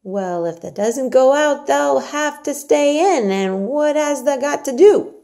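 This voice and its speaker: Storybook housemaid, voiced by a woman reader: young, hearty, blunt, high and animated. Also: measured pace. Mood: brisk, encouraging. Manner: blunt, emphatic, exclaiming. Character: hearty, outspoken young Yorkshire housemaid with motherly scolding. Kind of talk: storybook dialogue read aloud.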